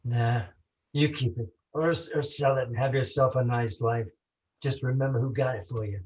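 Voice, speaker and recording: A man's voice: mid-range voice, fairly even intonation, moderate pace, a little mellow, lightly acted, poor audio. A man playing a condemned, lovestruck small-time crook with slangy tough-guy talk in a noir radio play. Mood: fond, resigned. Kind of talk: 1940s noir radio acting, live community theatre.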